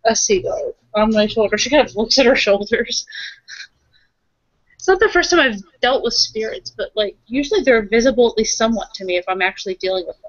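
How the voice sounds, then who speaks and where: Higher-voiced woman, tentative casual roleplayer. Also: expressive, fairly quick, dry recording. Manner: tentative, thinking aloud. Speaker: tabletop roleplayer. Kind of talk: casual improvised in-character roleplay. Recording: dry, dull lo-fi audio.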